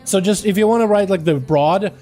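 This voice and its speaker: A man with an American accent chatting on a game livestream, halting and searching for words as he switches between Russian and English. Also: high voice, warm tone, soft background music. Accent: American, speaking Russian. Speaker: game streamer. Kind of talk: casual livestream chat.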